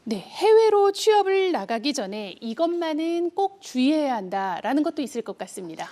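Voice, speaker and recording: A woman's voice: sits high, big pitch swings, moderate pace, mellow. A woman hosting a news segment, putting polite, scripted questions to a guest in a broadcast interviewing style.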